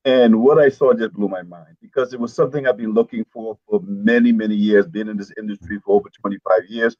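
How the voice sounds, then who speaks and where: A man's voice: lowish voice, steady tone, fast, a little mellow, dry recording. A middle-aged man from the Bahamas, coaching, telling his story casually and upbeat with easy laughs. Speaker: network marketing coach. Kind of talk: casual storytelling on a live stream. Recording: dull, dry lo-fi sound.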